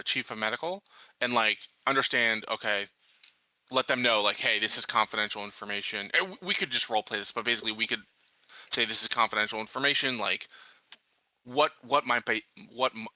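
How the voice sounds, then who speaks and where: Man, very sharp bright tone, dry sound, hesitant, casual tabletop game player. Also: lowish voice, no room sound. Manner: hesitant, halting, thinking aloud.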